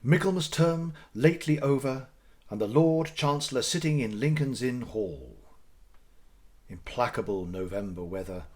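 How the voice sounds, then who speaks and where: A man narrating classic literature as a dramatic audiobook, with theatrical, deliberate phrasing and pauses for effect. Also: medium pitch, darkish tone.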